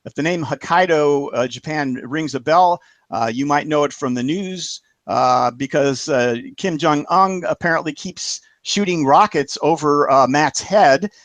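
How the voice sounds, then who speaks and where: Halting male web show host, dry sound. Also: on the high side, a bit bright, no room sound. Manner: halting, many "uh" fillers; friendly, thanking panelists and viewers. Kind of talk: casual closing announcements on a web show.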